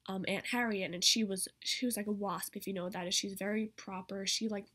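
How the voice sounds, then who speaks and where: A woman's voice: mid-range voice, fairly even intonation, brisk, fairly bright tone. A teenage girl talking casually to camera in a rambling way, saying "like" often, as she explains character voices. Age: teens. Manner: rambling, peppered with "like". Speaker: vlogger demonstrating character voices. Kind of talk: casual chatty tutorial to camera. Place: small bedroom, indoors. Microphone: laptop mic at arm's length.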